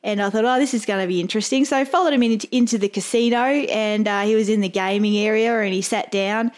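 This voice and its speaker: A woman's voice: mid-range voice, fairly even intonation, fast talker. A woman with an Australian accent, casually telling work anecdotes as a podcast host. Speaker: podcast host, private investigator.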